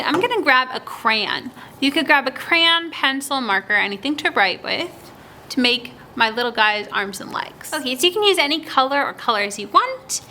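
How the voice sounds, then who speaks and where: A woman with a high, bright voice leads a story time for young children. She talks in an animated, child-directed way, posing questions and answering them as she goes. There is some steady background noise in the recording. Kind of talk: read-aloud and craft instruction for young children.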